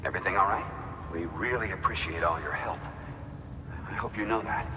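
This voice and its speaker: A reverberant, echoey space carries the low voice of a friendly male colleague played by an actor in a video game. He sounds casual and encouraging, with faint noise around him. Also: a little fast, lightly acted. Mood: friendly, grateful.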